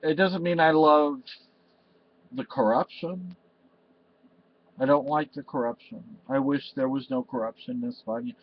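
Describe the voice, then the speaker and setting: A man's voice: medium pitch, a little slow, long pauses. Older man, from Spain, meandering emotional monologue, home webcam, slightly dull audio. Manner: meandering, emotional, lots of "you know" and restarts. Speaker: vlogger. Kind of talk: rambling personal monologue to camera. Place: cluttered room at home, indoors. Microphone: webcam in front of him.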